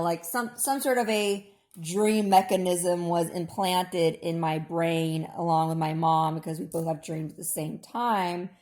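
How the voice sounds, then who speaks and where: Hoarse, low-voiced woman in an echoey room. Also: darkish tone. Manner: digressive, opinionated, informal. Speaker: vlogger. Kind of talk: rambling personal monologue.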